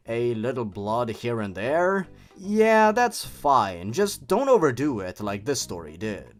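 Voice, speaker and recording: A young man hosting a review show in scripted commentary, with theatrical, sardonic emphasis. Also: mid-range voice. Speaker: host of a horror story review channel. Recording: music behind the voice. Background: background music.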